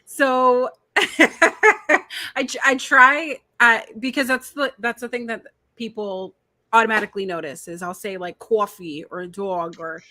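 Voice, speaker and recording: A woman with a New Jersey accent talks in a relaxed, chatty way and laughs easily. Her voice is bright and forward. The sound is dry and close. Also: on the high side, measured pace, no room sound. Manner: laughs often, chatty storyteller. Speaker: podcast guest. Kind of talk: casual chat.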